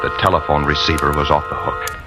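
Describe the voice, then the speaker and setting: A man's voice: extremely low pitch, level tone, fast. An actor playing a guilty, tense man on the run in a hard-boiled 1940s radio thriller, faltering when nervous. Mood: tense, haunted. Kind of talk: 1940s radio thriller acting, first-person narration. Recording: vintage radio with loud dramatic music.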